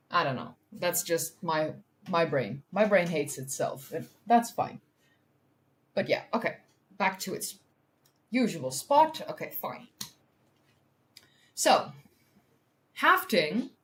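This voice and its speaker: A Dutch woman hosting a science livestream, speaking English as a second language with a Dutch accent, reading from a study and chatting to viewers in an excitable, self-deprecating way with casual swearing. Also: on the low side. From Netherlands. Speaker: science communicator and livestream host.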